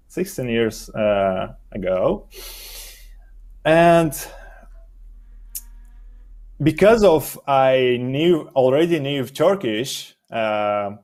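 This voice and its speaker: A young man whose first language is Romanian speaks slowly and haltingly, pausing often to find his words. He is answering questions in a relaxed interview, and his voice sits at an ordinary middle pitch. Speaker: guest, SEO expert.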